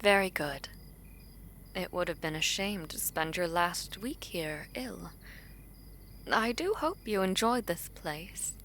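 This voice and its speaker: A woman's voice: on the low side, animated, slow pace, fairly bright tone, slight background noise, exaggerated voice. A woman playing a guarded, protective royal in an audio drama, with a grave, stately and formal delivery. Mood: courteous, reserved. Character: guarded, regal imperial sibling, protective of a younger brother.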